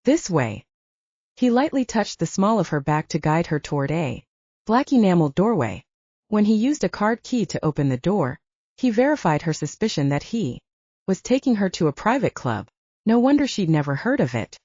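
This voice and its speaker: A woman's voice: medium pitch, very animated, moderate pace, dark tone, dry recording, dull audio. A woman reading a romance novel aloud as a scripted audiobook narrator.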